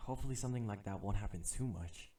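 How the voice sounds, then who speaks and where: A man's voice: fairly low pitch, racing, mellow, close to an everyday voice. Man acting as an exasperated assassin turned bodyguard. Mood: pensive, calculating. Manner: polite, formal address mixed with sighing, grumbling inner monologue. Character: exasperated male assassin posing as a dutiful bodyguard. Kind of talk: ASMR audio roleplay acting.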